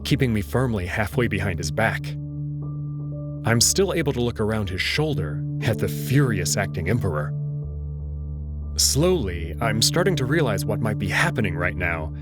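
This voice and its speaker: Lively male visual novel narrator. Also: fairly low pitch. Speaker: host and narrator.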